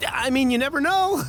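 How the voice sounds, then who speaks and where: A man's voice: very high voice, slight background noise, full character voice. A man voicing a nervous, wary merchant customer in a video game, polite but hesitant and easily flustered.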